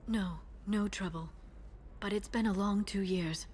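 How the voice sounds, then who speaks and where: A woman's voice: mid-range voice, steady tone, a little slow, darkish tone, slight background noise, close to an everyday voice. Guarded alien information broker, voiced by a woman. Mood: guarded, regretful. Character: guarded alien female information broker, earnest, quietly menacing when crossed. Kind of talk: video game dialogue acting.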